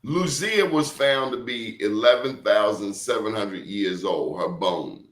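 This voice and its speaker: A man's voice: fairly low pitch, measured pace, a bit bright, reverberant. A man talking as a professor guest in casual conversation, telling emphatic stories and throwing out rhetorical questions.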